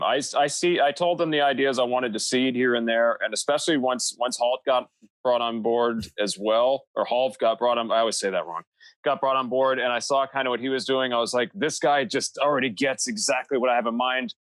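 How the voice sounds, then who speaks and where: A man's voice: mid-range voice, fairly quick, fairly bright tone, dry recording. A man speaking as a podcast guest in a casual panel discussion, explaining creative work in a rambling, self-interrupting way.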